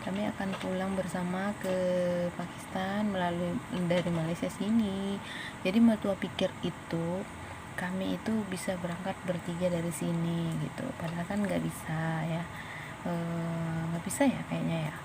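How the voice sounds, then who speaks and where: An Indonesian woman with a fairly low voice tells a personal story in a casual vlog style. She has an Indonesian accent and talks conversationally, as if to friends. The recording has clear ambient and vehicle noise behind her. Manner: conversational, addresses viewers as friends. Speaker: vlogger. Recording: noisy, with vehicle and ambient sound.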